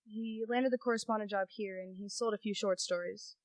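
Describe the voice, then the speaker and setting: A woman's voice: mid-range voice, steady tone, brisk, dry recording, close to an everyday voice. A woman playing a chatty, frank newspaper colleague in an amateur radio play, casual and slangy. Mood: frank, intrigued.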